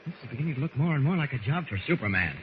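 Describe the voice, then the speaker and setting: An actor playing an alert, inquisitive gentleman adventurer, brisk and probing, in the manner of 1940s radio drama. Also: mid-range voice, big pitch swings, close to an everyday voice. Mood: alert, urgent. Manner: brisk, probing, plain-spoken. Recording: muffled noisy old radio recording.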